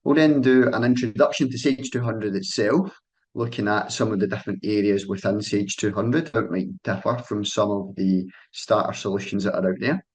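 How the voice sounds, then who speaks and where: A man's voice: fairly low pitch, fairly even intonation, moderate pace. A young Scottish man, an account manager, presenting a sales webinar over a call in a friendly, loose style with frequent ums and restarts. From Scotland.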